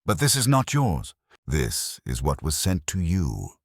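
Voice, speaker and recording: A stern, guarded woman investigator in a narrated drama story, read by a man in his very low-pitched voice. She speaks in terse, deliberate phrases with controlled anger. The intonation swings widely and the sound is dry. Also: huge pitch swings, slightly rough, no echo, heavily acted. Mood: quiet warning.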